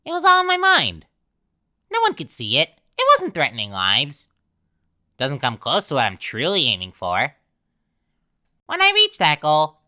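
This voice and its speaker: Evil talking flower game villain, lowish voice but super piercing, mocking, theatrical, cutesy then menacing. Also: female voice, sharp tone, exaggerated voice. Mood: menacing, grandiose. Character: manic, taunting talking flower villain, smug and childish. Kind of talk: dramatic game villain monologue voice acting.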